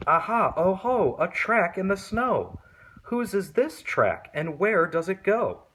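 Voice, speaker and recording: A man's voice: high voice, sing-song, slow pace, a bit bright, husky, pitched above the performer's own voice, full character voice, poor audio. A man voicing a curious, bold young female monster child in a children's storybook. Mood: excited. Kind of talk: children's picture book read-aloud.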